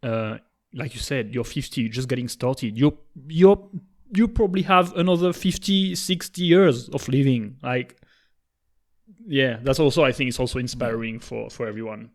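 A man's voice: mid-range voice, normal speed. A man co-hosting an interview, speaking reflectively with many restarts. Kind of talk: interview conversation.

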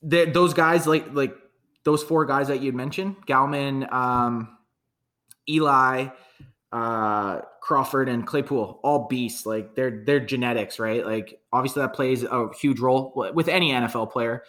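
A man's voice: mid-range voice, measured pace, fairly bright tone. A man from Canada chatting casually about football, frank and rambling, swearing freely. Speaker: podcast co-host. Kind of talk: casual sports chat.

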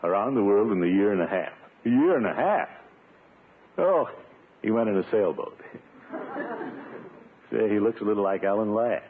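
An actor playing a playful, grumbling middle-aged bachelor uncle in a blustery 1950s radio sitcom style. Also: male voice, on the low side, lively intonation, moderate pace, long pauses. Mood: grumbling, restless. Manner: blustery, wheedling, theatrical grumbling. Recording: muffled, narrow-band 1950s radio recording, hiss.

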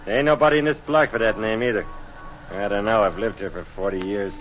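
An actor playing a gruff, surly henchman, blunt and slangy, in 1940s radio style. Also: on the low side, fast, natural voice. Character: gruff, surly henchman posing as longtime local. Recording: muffled, hissy 1940s radio recording.

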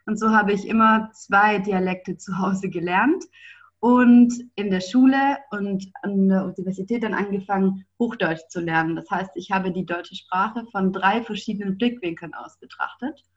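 Young woman from Germany, podcast guest, fluent, thoughtful, mid pitch, muffled sound. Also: normal speed. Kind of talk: casual interview.

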